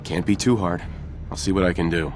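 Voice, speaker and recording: Low-voiced laconic hero, played by a man. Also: fairly even intonation, a little fast, noisy background, close to an everyday voice. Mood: willing. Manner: terse. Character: laconic, willing wasteland hero. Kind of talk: video game character acting. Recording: loud music behind the voice.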